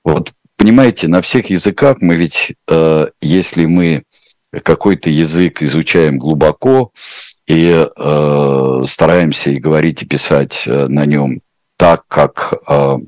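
A man with an extremely deep, low voice answers questions slowly and thoughtfully, filling his pauses with drawn-out hesitation sounds. The recording is dry and close, with no room echo. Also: a little mellow. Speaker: translator answering viewer questions. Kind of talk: unscripted reflective answers.

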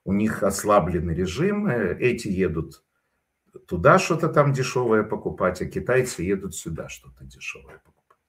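A man talks in a low voice that swings up and down with animation. He tells rambling anecdotes and stops often to hesitate. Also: normal speed. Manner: animated, digressive storytelling with frequent hesitations. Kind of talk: casual spoken commentary.

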